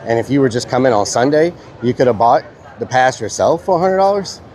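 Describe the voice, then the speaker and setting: A man's voice: medium pitch, expressive, a little fast, darkish tone. A congested man chatting casually to his live-stream viewers as he walks around a busy comic convention, carrying a handheld streaming camera. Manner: rambling, chatty, talks to viewers. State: congested, stuffy-nosed.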